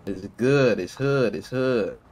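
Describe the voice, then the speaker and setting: A man's voice: mid-range voice, slow, a little mellow. An American man bantering casually in slang with friends during an online game. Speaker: role-play gamer.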